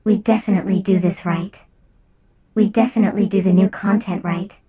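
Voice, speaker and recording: A woman streamer chatting casually with a playful, deadpan, slangy manner. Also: medium pitch, brisk, breathy edge. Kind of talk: casual live stream chat.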